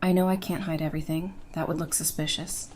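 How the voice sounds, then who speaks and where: A woman's voice: deep voice, flat delivery, a little fast, a little mellow, a little hiss or hum, close to an everyday voice. A woman playing a strong-willed, bitter survivor with a firm, sardonic manner in an audio drama. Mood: bitter resolve.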